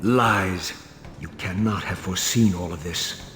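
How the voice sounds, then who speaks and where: An actor playing a vengeful, brooding undead wraith in a video game, murmuring inner thoughts and snapping back defiantly. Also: male voice, deep voice, a little slow, loud music behind the voice. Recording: long hall reverb, game score behind.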